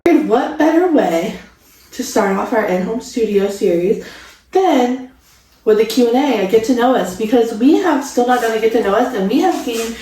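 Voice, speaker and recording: A woman's voice: on the high side, lively intonation, normal speed, warm tone. A woman of twenty-five chatting casually and enthusiastically as co-host of a vlog. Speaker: vlogger co-host. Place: home studio room, indoors. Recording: echoey room, distant mic.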